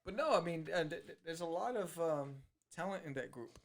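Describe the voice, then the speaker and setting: Tired, low-key male co-host. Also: animated, brisk. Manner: low-key, trailing off, hesitant. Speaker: podcast co-host. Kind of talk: casual chat.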